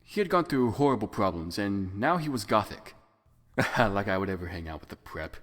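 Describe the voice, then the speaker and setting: A man's voice: on the low side, sing-song, normal speed, fairly bright tone, dry recording. A man narrating fan fiction as a comic dramatic reading, in a theatrical, exaggerated manner.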